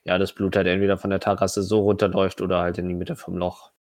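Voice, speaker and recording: A man with a fairly low voice leads a tabletop role-playing session as game master. He improvises his narration in a conversational way. The sound is dry, with no room echo. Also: level tone, fast, darkish tone.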